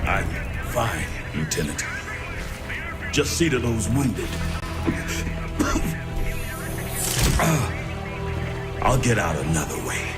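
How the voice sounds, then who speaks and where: An actor playing a battle-worn, defiant military general in a video game, gruff, commanding and bitter. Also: male voice, lowish voice, very animated, unhurried. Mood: gruff, strained. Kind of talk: dramatic video game acting. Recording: loud music behind.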